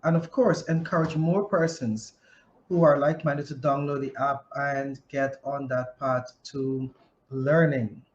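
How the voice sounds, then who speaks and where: A Guyanese man giving a semi-formal update as a show presenter, with a measured, emphatic delivery. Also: medium pitch, little pitch movement, dull audio. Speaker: show presenter, business coach.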